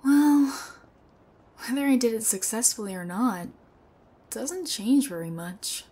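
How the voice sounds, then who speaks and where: A young woman acting an odd, sweet, sheepish thief in an ASMR roleplay, speaking softly and hesitantly with awkward pauses. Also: a little high, expressive, husky. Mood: sheepish, earnest.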